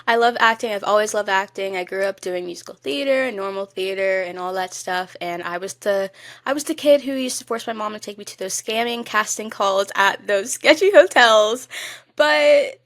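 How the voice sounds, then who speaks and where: A woman's voice: mid-range voice, moderate pace, a bit bright. A young woman co-hosting a podcast, chatting casually in an effusive, emphatic and colloquial way. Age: young adult. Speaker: podcast co-host.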